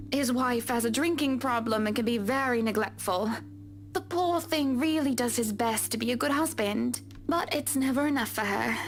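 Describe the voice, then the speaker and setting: A woman's voice: a little high, a little hiss or hum. A woman voicing a sweet, genteel socialite in a visual novel game, with polite, refined phrasing.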